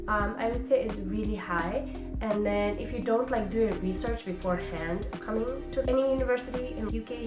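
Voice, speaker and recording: A woman in her twenties from Nepal talks through a casual personal vlog, reflective and chatty with plenty of fillers. Her voice sits at a middle pitch for a woman. The room is echoey, and music plays clearly underneath. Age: 20s. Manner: chatty, reflective, many fillers like "like" and "you know". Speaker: vlogger and coach. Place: home in California.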